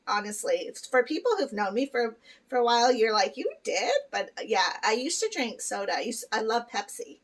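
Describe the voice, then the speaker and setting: A woman's voice: mid-range voice, a bit bright, recorded from a distance. A middle-aged woman from Peru talking casually to her viewers about living with kidney disease, earnest and encouraging, with lots of fillers. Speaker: vlogger sharing life with kidney disease. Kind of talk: casual chat to camera.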